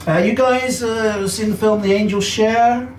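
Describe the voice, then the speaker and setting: A man's voice: sits high, steady tone, a little slow, echoey room, recorded from a distance, crowd noise. An English man performing a chatty, self-deprecating stand-up comedy set in a basement comedy club. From England.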